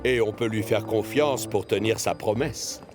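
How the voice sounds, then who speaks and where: A man narrating a children's cartoon in a lively, expressive storytelling manner. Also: on the low side, a little slow. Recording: narration over music.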